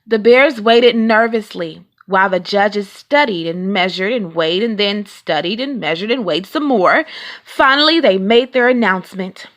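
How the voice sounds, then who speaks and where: A woman reading a children's storybook aloud in an expressive, deliberate way with dramatic emphasis. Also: mid-range voice. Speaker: storybook reader.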